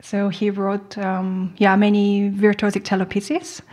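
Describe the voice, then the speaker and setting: Woman in her late 20s, talks softly with lots of ums, laughs shyly. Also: mid-range voice, completely flat delivery, measured pace. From Korea. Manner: soft-spoken, hesitant, many ums, laughs shyly. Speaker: guest cellist. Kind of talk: radio interview answers. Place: radio performance hall studio.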